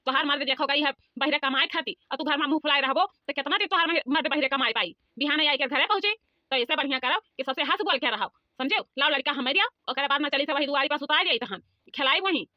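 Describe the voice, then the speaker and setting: Cartoon elderly mother-in-law , played by a woman: high voice, Awadhi accent, repetitive nagging advice, muffled lo-fi audio. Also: quick pace, no echo, close to an everyday voice. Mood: coaxing lecture. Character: bossy, coaxing elderly village mother-in-law. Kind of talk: comic cartoon dialogue.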